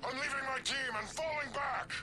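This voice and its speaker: An actor playing a boastful, battle-hardened alien warrior commander, gruff and blunt, in a game cutscene. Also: male voice, very high voice, moderate pace, raised voice, loud music behind the voice. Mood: gruff bravado. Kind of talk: video game cutscene acting.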